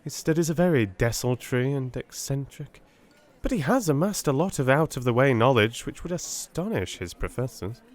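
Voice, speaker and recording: A man voicing a hesitant, animated young Victorian gentleman. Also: mid-range voice, normal speed, pitched above the performer's own voice, natural voice. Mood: hesitant, guarded. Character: hesitant, gossipy young gentleman, a doctor's acquaintance. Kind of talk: dramatised Victorian audiobook dialogue.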